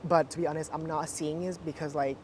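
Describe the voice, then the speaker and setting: A young woman in her twenties with a deep voice, answering interview questions in a loose, chatty way, full of fillers. Faint street noise and passing traffic hum behind her. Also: fairly even intonation, a little fast. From Thailand. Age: 20s. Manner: chatty, many fillers, laughs often. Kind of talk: casual interview chat.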